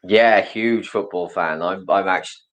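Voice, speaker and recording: A man's voice: low voice, normal speed, muffled recording. A man from Wales, a podcast guest in casual, rambling chat. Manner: chatty, rambling, animated impressions.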